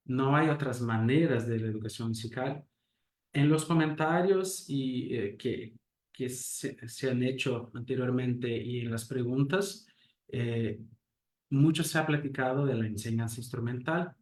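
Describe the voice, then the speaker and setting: A man giving an academic talk as a lecturer, a native Portuguese speaker who speaks thoughtfully and hesitantly, pausing with fillers and asking rhetorical questions. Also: mid-range voice, a little mellow. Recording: roomy echo.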